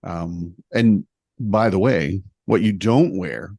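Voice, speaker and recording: A man telling a travel story in casual conversation, animated and poking fun at himself. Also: low voice, slow pace, vocal fry, slightly rough, dry recording, clean studio sound. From United States. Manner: animated, self-deprecating, humorous storyteller.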